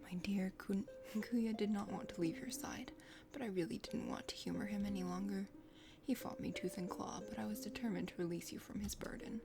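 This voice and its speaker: A woman's voice: mid-range voice, fairly even intonation, brisk, vocal fry, voice pushed down, lightly acted. Woman voicing a prim, condescending whispering dragon lady. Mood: smug, unworried. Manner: formal, prattling, condescending. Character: prim, chatty, condescending dragon sister of a forest kingdom. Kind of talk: soft whispered ASMR character reading. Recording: music behind the voice.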